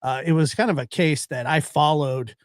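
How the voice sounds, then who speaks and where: Halting man hosting casually, dry sound. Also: a little high, no echo. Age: late 30s to early 40s. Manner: halting, circling back over phrases. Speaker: live-stream host. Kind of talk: casual chat.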